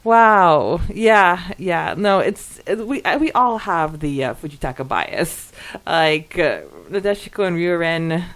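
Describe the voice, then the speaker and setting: Giggly, expressive woman, slightly low voice. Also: slow. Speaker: podcast co-host. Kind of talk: casual chat and reading out listener messages.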